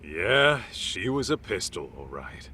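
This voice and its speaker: Streetwise private eye for a game: low, animated, cocky and protective. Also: male voice, slow, a little hiss or hum, full character voice. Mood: breezy questioning. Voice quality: low, sturdy voice. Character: easygoing, tough, streetwise male private detective. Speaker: voice actor in game dub. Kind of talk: English dub game cutscene acting.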